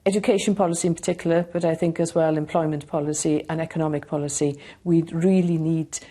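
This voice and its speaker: Low, mellow-voiced woman official. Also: fairly even intonation, quick pace. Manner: earnest, policy-focused. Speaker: language commissioner. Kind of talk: interview answer.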